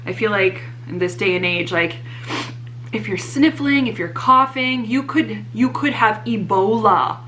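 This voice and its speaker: A young woman vlogger, slightly hoarse, chatting casually and teasing herself about her purchases. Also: mid-range voice, measured pace, a bit bright, slight background noise, poor audio. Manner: chatty, self-mocking, many fillers. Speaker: fashion vlogger. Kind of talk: casual haul chat to camera.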